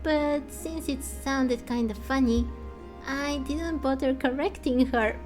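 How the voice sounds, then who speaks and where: A woman's voice: high voice, takes it slowly, a little mellow, soft background music. A woman voicing a chatty, self-assured little girl from a game, telling stories in a confiding, matter-of-fact way. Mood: matter-of-fact storytelling. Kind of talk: fan voice-over of game dialogue.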